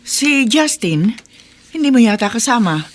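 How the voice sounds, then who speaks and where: A woman's voice: a little high, sing-song, a little slow. An actress with a Filipino accent playing a stern, suspicious middle-aged mother in a radio drama. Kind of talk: Tagalog radio drama acting.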